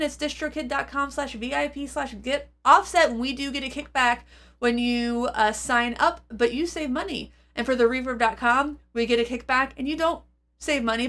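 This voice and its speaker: A woman with a fairly high, very bright voice talks through a product review. Her delivery is informal and unscripted, wandering along and doubling back on itself as she addresses her viewers. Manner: informal, rambling, hesitant and self-interrupting. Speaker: host of a guitar effects pedal review channel.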